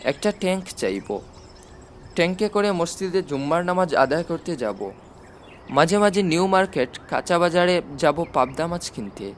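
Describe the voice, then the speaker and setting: A man's voice: fairly high pitch, expressive, normal speed, a little hiss or hum, close to an everyday voice. A man voicing a self-satisfied elderly president with chatty, dry humour in an audiobook. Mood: wryly cheerful. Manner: chatty, drily humorous. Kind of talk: audiobook character dialogue.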